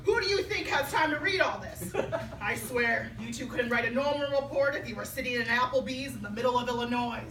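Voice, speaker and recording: A woman's voice: a little high, animated, brisk, a bit bright. A woman playing an exasperated, sarcastic, scolding police captain in a staged reading. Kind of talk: staged reading before an audience. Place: live theater stage before studio audience. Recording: echoey stage, distant mic, noisy room.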